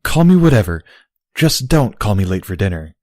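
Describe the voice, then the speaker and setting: A man's voice: on the low side, sing-song, moderate pace, darkish tone, no room sound, studio quality. A man voicing a defiant young female pony in an audio story, casual and plainspoken.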